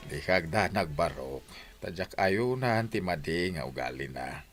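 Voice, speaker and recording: A man plays an older father-in-law from a village radio play. The pitch is low and the delivery is measured and sober, like an elder giving a reprimand. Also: expressive, fairly bright tone. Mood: admonishing. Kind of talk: Ilocano radio drama acting.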